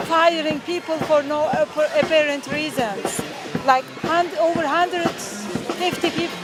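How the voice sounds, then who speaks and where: Uma mulher com sotaque turco fala devagar e com voz aguda, hesitando com muitas pausas e sons de preenchimento. Ela está numa manifestação ao ar livre na rua, e música alta toca bem perto, atrás dela. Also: female voice, sits high, poor audio. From Turkey. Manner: halting, many fillers, earnest. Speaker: rally participant. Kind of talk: street interview. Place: outdoor street rally.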